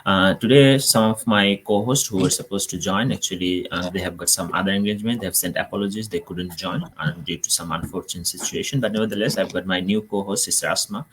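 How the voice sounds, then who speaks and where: A man's voice: low voice, fast, hardly any pauses, fairly bright tone, a little hiss or hum, rough recording. A man with a Bangladeshi accent, hosting a live online talk and explaining things to his audience. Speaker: host of an online business show.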